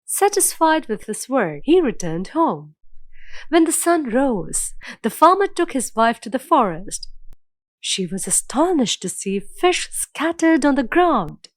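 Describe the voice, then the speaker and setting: A woman tells a scripted children's story with dramatic, clear phrasing. Her voice is on the high side and very animated in pitch. The recording is dry and close. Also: unhurried, no echo.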